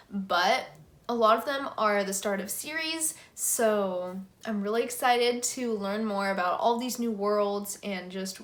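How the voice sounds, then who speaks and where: A woman's voice: a little high, a bit bright. A young woman chatting casually and enthusiastically, as a book vlogger does. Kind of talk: casual chat to camera about books.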